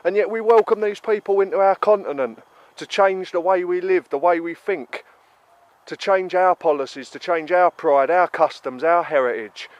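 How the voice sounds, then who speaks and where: A man's voice: high-pitched, moderate pace, no echo, dull audio. A British man with a stuffy nose from a cold, ranting heatedly in an emphatic monologue full of repeated rhetorical questions. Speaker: vlogger.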